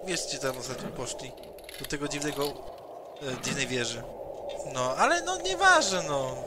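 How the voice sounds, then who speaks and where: A man voicing a carefree, strong young male mutant who rambles and jokes, in a tabletop roleplay with loud background noise. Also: medium pitch, sing-song, measured pace, voice pushed down. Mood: cocky. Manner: joking, rambling.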